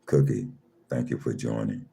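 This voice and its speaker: A man with a very deep voice hosting a live chat room, calmly greeting listeners one by one and talking in a style. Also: gravelly. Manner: slow, calm, repeats himself, reads names off a screen.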